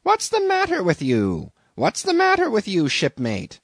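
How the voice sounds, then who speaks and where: Man putting on a shrill fussing landlady. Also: wildly swooping pitch, measured pace, no echo, clean studio sound, exaggerated voice. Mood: scolding. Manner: fussy, scolding, exclamatory. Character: shrill bustling middle-aged innkeeper woman.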